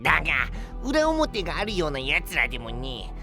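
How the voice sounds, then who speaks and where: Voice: mid-range voice, wildly swooping pitch, moderate pace, music in the background. An actor voicing a cocky little sidekick pet that talks with tough-guy swagger in an anime audio drama. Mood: knowing.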